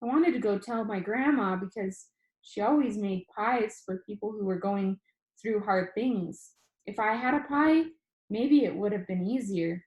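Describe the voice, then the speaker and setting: A woman narrates a story aloud in an echoey room. Her pitch sits in the middle for a woman, and she reads expressively, as in storybook narration. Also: unhurried, darkish tone, lo-fi sound. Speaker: reader reading a novel aloud. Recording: roomy, echoing room sound.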